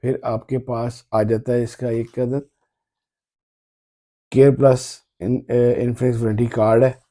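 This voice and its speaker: Very mellow, dark-voiced man. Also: mid-range voice. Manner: halting, repeats phrases. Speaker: tech review vlogger. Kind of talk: casual phone unboxing commentary.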